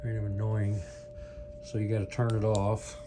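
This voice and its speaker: A man's voice: lowish voice, normal speed. A man giving a casual, unscripted product demonstration, rambling and thinking aloud as he goes. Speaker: product review video host. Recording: clear steady hum and background noise.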